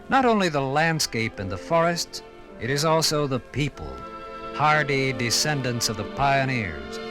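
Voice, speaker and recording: An American man narrating a 1950s radio documentary from a script in a solemn, declamatory, dramatic manner. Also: medium pitch.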